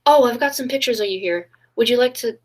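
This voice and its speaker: A woman's voice: on the high side, quick pace, close to an everyday voice. A woman playing a detective's helpful, concerned young assistant in a 1940s radio play. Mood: uneasy.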